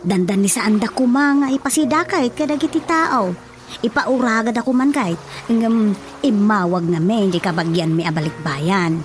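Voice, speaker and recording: An actress playing a chatty, gossipy village woman in an Ilocano radio drama comedy, with an Ilocano accent and an animated, familiar delivery. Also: mid-range voice, moderate pace, soft background music, lightly acted.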